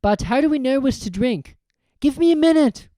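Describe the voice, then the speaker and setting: A woman voicing an eager, bossy, clever schoolgirl witch in a storybook reading, brisk and excited. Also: sits high, no echo, clean studio sound, voice pushed up. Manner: brisk, excited, know-it-all.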